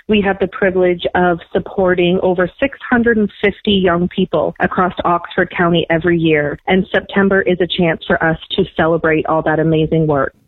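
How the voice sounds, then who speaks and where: A woman's voice: fairly low pitch, dry recording. Woman speaking over a tinny line. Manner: upbeat, prepared statement. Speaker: charity branch representative. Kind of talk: news clip statement.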